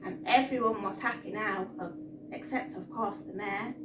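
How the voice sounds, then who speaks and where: A woman reading a story aloud to young children in an animated, expressive storytime style. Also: mid-range voice, normal speed. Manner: animated, addressing young listeners. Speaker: children's storytime reader, teacher. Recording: roomy, distant mic, noisy background.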